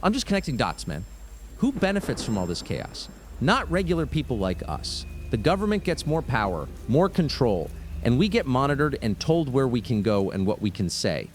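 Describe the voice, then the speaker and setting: A man's voice: mid-range voice, very animated, normal speed, slight background noise, close to an everyday voice. A man playing a suspicious, insistent conspiracy theorist calling a radio show. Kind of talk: radio call-in drama acting.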